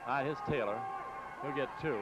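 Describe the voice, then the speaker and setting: A man's voice: medium pitch, moderate pace, over a noisy crowd, heavy background noise. An American man calling live basketball play-by-play as a radio announcer in clipped, running phrases on a 1960s AM broadcast. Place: courtside in a large exposition hall.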